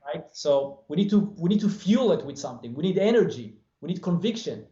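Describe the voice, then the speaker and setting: An Israeli-accented man in his forties speaks earnestly, with a motivational push, as he answers interview questions. His fairly high, mellow voice comes over a video call from a bare room, sounding echoey and muffled. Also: very animated, fairly quick. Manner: earnest, motivational, gestures with hands. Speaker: guest, franchise business owner. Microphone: webcam on video call. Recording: roomy dull video-call audio.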